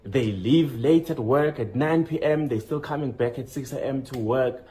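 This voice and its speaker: A man from South Africa with a South African accent, chatting casually in a travel vlog and excitedly announcing each numbered point. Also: normal speed. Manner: animated, jumps up in pitch to announce list items. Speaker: vlogger.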